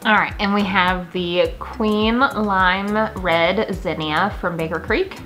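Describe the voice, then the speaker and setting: A woman's voice: mid-range voice, steady tone, slow, fairly bright tone, soft background music. A woman in her thirties chatting casually and enthusiastically to camera as a gardening vlogger in her kitchen. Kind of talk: casual chat to camera, showing seed packets. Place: home kitchen, indoors.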